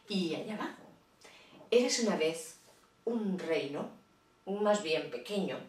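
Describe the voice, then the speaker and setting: A woman's voice: on the low side, echoey room, recorded from a distance. A woman telling a children's fairy tale in a playful, chatty way, with asides to her listeners. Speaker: storyteller. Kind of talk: children's storytelling.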